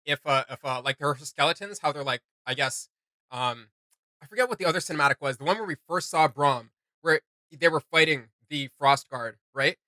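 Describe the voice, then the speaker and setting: A man's voice: fairly high pitch, fairly quick, sharp tone, dry recording. A man co-hosting a casual reaction video, rambling enthusiastically and often searching for his words. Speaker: co-host of a reaction channel.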